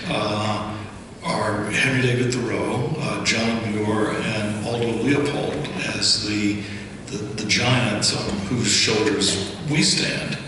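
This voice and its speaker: A man speaking in a halting, deliberate way in a documentary interview. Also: steady tone. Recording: echoey hall, distant, clear rumble.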